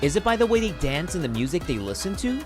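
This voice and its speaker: Brief: polished show narrator, lively pitch, music playing underneath. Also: fast. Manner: polished, inviting presenter delivery. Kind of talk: scripted narration.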